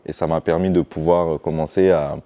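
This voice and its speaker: Low, mellow Frenchman in an interview. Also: fairly even intonation, a little fast. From France, Paris suburbs, Martinican family. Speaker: podcast guest, financial educator. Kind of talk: casual interview, recounting his path.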